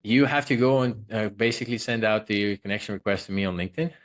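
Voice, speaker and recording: A man's voice: on the low side, steady tone, fast. A man from Russia, a guest consultant, talking loosely and jokily in an interview, with many fillers. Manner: loose, joking, self-promoting, many fillers. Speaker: guest, social selling consultant. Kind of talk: casual interview talk.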